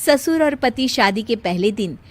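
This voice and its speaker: Woman narrating a story, higher-pitched voice. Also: animated, measured pace. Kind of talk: scripted narration of a cartoon moral story.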